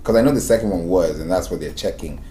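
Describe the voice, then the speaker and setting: Deep, mellow man hosting in an echoey room. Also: fast, a little hiss or hum. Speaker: podcast host, interviewer. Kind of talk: casual conversational interviewing.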